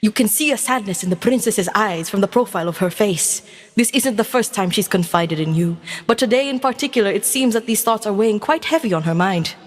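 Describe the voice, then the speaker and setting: A woman reading scripted second-person fantasy narration in a measured storybook manner. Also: mid-range voice, expressive, long reverb tail. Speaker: VTuber storyteller.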